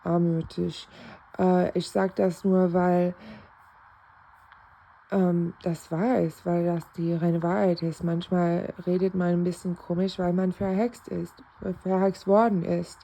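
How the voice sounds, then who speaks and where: Woman vlogging, gravelly and mellow, rambles and restarts, switches languages. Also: on the low side, little pitch movement, takes it slowly. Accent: American accent in German. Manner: rambling, repeats phrases, many restarts; switches between English and German. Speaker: self-described novice clairvoyant. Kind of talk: casual rambling spiritual vlog.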